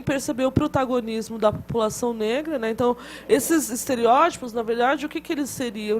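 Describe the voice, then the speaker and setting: A woman's voice: on the high side, slight background noise. A woman giving a classroom lecture in a conversational way, often adding tag questions and restarting phrases.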